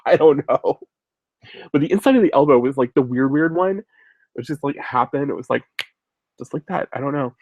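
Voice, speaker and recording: A man's voice: mid-range voice, lively intonation, moderate pace, long pauses, a little mellow, dry recording. A man in his thirties, a little sick and sniffling, casually answering viewer questions as host, rambling with lots of fillers. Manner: rambling, many fillers and restarts. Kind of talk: casual chat.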